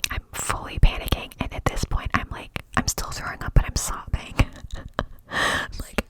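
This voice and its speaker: A woman's voice: measured pace, pauses often. A young woman telling a personal story in a chatty whisper, with many fillers. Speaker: vlogger.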